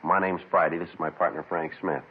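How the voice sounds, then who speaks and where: A very deep-voiced, brisk American detective. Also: male voice, breathy edge. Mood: calm, probing. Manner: clipped, deadpan, polite. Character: terse, businesslike male police detective, unflappable. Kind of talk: 1950s radio drama acting with first-person narration. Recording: narrow, noisy vintage 1950s radio recording.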